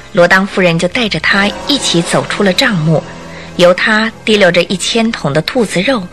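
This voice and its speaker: A woman narrating a Chinese radio drama, telling the story in a measured way with theatrical emphasis. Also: lowish voice, bright, forceful tone. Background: music.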